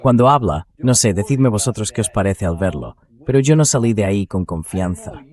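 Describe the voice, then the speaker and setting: A man with a fairly low voice hosts a political talk show. He comments in an emphatic, sceptical manner and leans on pointed rhetorical questions. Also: expressive, a little fast, crowd noise.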